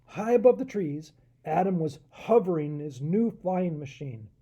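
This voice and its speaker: Pastor guy doing kids storytime, husky mellow voice, really expressive. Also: on the high side, measured pace, highs cut off. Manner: animated, asks the listeners questions. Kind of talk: expressive children's storybook read-aloud.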